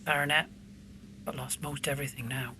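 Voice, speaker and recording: A man's voice: mid-range voice, level tone, brisk, lightly acted. A man playing a deferential, gossiping village landlord in a period audio drama. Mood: deferential, gossipy. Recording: faint background noise and ambience.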